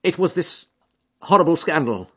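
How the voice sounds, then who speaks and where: A man reading an audiobook voices a grieving, shaken colonel who speaks haltingly and earnestly. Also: medium pitch, animated, slightly rough, pitched above the performer's own voice. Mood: distressed. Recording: dull lo-fi audiobook recording.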